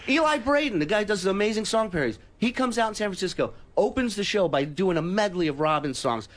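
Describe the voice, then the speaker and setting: A man's voice: high voice, expressive, quick pace. A man telling heated anecdotes in casual radio talk, exasperated and profane, acting out the dialogue he quotes. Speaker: stand-up comedian and staff guest on a radio show. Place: radio studio.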